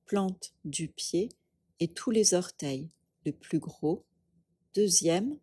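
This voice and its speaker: A woman leads a guided meditation at a very slow, unhurried pace. Her voice is soft and breathy, with calm instructions given in short phrases and long pauses between them. The sound is dry, with very little room around it. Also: fairly low pitch, no room sound. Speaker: yoga nidra teacher.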